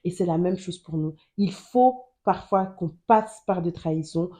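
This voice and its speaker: Earnest woman sharing faith, mellow low voice. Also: unhurried. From Germany. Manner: earnest, repeats phrases for emphasis. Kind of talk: personal testimony and Bible teaching.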